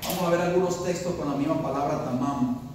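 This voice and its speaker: High-voiced preacher in an echoey hall. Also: male voice, fairly quick, darkish tone, recorded from a distance, slight background noise. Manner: preaching with rising emphasis, asks the congregation questions. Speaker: pastor. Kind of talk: sermon.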